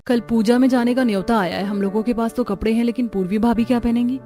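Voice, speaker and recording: A woman's voice: on the high side, steady tone, quick pace, warm tone, faint music behind. A woman voicing characters in a cartoon family story in an earnest, pleading tone. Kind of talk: cartoon dialogue acting.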